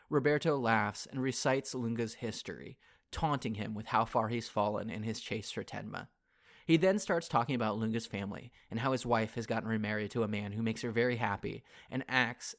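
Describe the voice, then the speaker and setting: Male podcast co-host, mid-pitched voice. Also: creaky, fairly bright tone. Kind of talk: casual chat.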